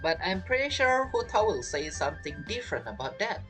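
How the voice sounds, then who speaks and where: Animated man doing casual game commentary. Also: sits high, fairly quick, music in the background, poor audio. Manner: rambling, repeats phrases. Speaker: game streamer.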